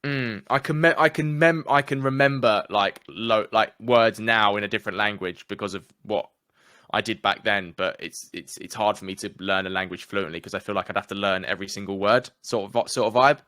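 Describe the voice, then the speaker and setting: Young man, English accent, casual chatty guest, low-ish voice, dry close sound. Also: a little fast, sharp tone, dry recording. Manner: candid, chatty, slangy. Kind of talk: casual conversation.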